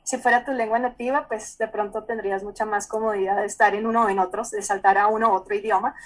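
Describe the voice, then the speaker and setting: A Colombian woman psychologist explaining calmly in a conversational way, often repeating words and checking in with the listener. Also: medium pitch, fast talker. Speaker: psychologist speaker. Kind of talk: explanatory talk over video call.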